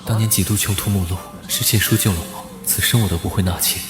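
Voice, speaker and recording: Actor as low, brooding, impoverished young man. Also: fairly even intonation, fast talker, lightly acted. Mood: solemn, devoted. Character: humble, brooding young man down on his luck. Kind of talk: animated period drama acting. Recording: echoey long reverb over music.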